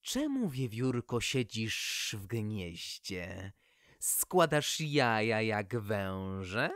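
Small polite storybook snake, put on by the narrator, very slow drawn-out delivery, dry sound. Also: medium pitch, very animated, a bit bright, no room sound, exaggerated voice. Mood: curious, patient. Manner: slow, drawn-out, careful speech. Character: small gentle male grass snake, polite, wistful.

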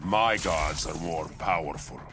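A man's voice: rumbling low, unhurried, gravelly, over music, exaggerated voice. An actor playing a proud, contemptuous godlike warrior king in a video game, pompous and stern. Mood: proud menace. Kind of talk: video game fight banter.

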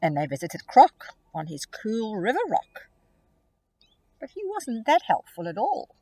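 Middle-aged woman, teacher reading to kids; breathy, animated storyteller, phone camera outdoors. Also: medium pitch, a little slow. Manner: expressive, storytelling. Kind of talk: reading a picture book aloud to children. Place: outdoors in a grassy field.

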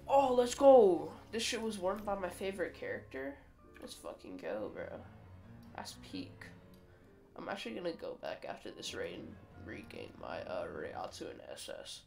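A young man streaming a game, chatting casually in slang with a croaky voice. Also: high voice, very animated, normal speed. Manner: laid-back slangy chatter, swearing. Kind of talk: casual gameplay commentary. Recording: loud game music behind voice. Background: game music.

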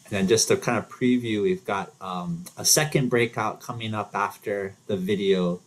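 A middle-aged man with a fairly low voice hosting an online alumni gathering from home. He talks loosely over a video call, with plenty of fillers and restarts. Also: normal speed. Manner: relaxed, many fillers and restarts. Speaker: event host. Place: home, virtual background.

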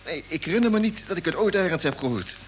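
An actor playing an excitable, good-natured spaceship radio operator who stammers and repeats himself, in old Dutch radio drama style. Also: male voice, on the high side, fast, natural voice. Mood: earnest, puzzled. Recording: hissy vintage radio play recording.

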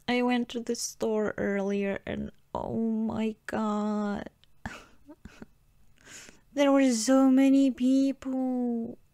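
A woman playing a playfully whiny, pouting girlfriend in an improvised ASMR roleplay, with halting, giggly, mock-sulky pleading. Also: on the high side, fairly even intonation, dark tone, no echo. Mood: pouty, amused.

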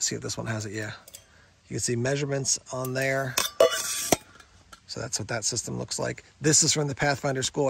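Gravelly-voiced man chatting about his gear. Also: mid-range voice, a bit bright, a little hiss or hum. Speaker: gear reviewer. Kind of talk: casual gear show-and-tell. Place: outdoors beside a pickup truck by woods.

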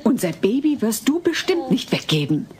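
A woman's voice: on the high side, very animated, normal speed, a little mellow. A woman playing a firm, exasperated mother in a dubbed sitcom audio play, stern and indignant. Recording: slightly noisy old cassette dub.

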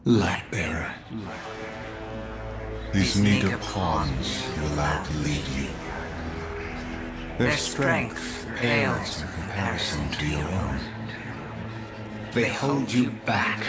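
A grandiose alien god speaks in a very low register, with glacial slowness. Calm and solemn, it tempts like a video game villain, trailing into hushed tones. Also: male voice, loud music behind the voice. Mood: grandiose, tempting. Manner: solemn, deliberate, hushed at phrase ends. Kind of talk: dramatic video game voice acting. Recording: voice under loud game score.

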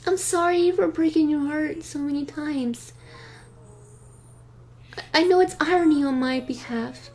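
A woman's voice: high-pitched, unhurried, long pauses, darkish tone, pitched above the performer's own voice. A woman voicing a lovesick young superhero girl, earnest, stubborn and pleading, in a fan audio drama. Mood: pleading, apologetic. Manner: pleading, emotional.

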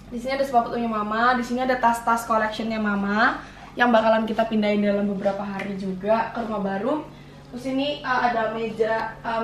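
A woman's voice: a little high, fairly bright tone. A young woman, chatting informally and playfully as she moves around giving a casual house-tour vlog. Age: young adult. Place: indoors, rooms of a house. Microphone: handheld camera mic at some distance. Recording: echoey rooms, distant camera mic, noisy.

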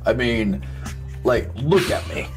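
Slow, mock-lecturing man with music behind. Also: medium pitch, lively intonation. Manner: mock-lecturing, giggling, crude. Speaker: streamer giving a joke slideshow talk. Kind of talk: casual joking group stream.

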